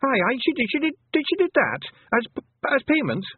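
A man voicing a small, frantic male pixie chief with a Scottish accent, shrill and gabbling. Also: huge pitch swings, brisk, no room sound, exaggerated voice. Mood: panicky. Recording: tinny, phone-like lo-fi audio.

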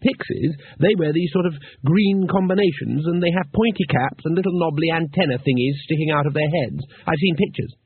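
A man's voice: on the high side, fairly quick, hardly any pauses, no echo, pitched above the performer's own voice. A man voicing a naive, fussy and eager male tourist who talks in an insistent, prim and earnest way. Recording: husky, narrow phone-like sound.

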